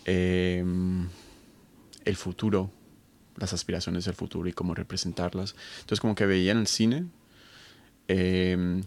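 Low gravelly man, halting and thoughtful. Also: level tone, darkish tone. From Colombia. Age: 30s. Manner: thoughtful, halting, many fillers and restarts. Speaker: guest researcher. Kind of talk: conversational interview.

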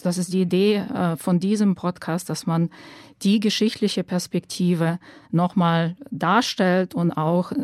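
Earnest, low-voiced woman from Ukraine. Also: fairly even intonation, measured pace, darkish tone, dry recording, studio quality. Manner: earnest, with frequent hesitations. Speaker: guest, activist. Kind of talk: conversational explanation. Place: university studio.

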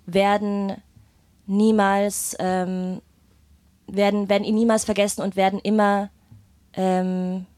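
A woman's voice: medium pitch, little pitch movement, slow pace, pauses often, darkish tone, dry recording. Hesitant woman storyteller, dry close sound. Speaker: guest performer, singer and guitarist. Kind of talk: casual interview chat. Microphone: microphone.

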